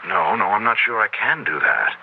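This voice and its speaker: An actor playing an earnest, determined academic who explains things hesitantly, in a 1970s radio drama. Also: male voice, lowish voice, sing-song, moderate pace, gravelly. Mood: resolute. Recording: dull, lightly noisy 1970s radio recording.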